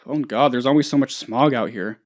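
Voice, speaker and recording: An actor playing a naive, anxious, scatterbrained office boss who rambles and gets sidetracked, in a video game. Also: male voice, mid-range voice, brisk, natural voice. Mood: eager, scatterbrained. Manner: rambling, absent-minded, easily sidetracked. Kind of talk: video game character voice lines.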